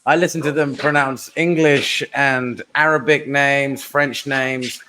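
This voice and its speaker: A man's voice: mid-range voice, fairly even intonation, slow, pushed and bright, slight background noise, rough recording. A man hosting a podcast interview, talking casually and repeating words while he gathers his thoughts. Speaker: podcast host.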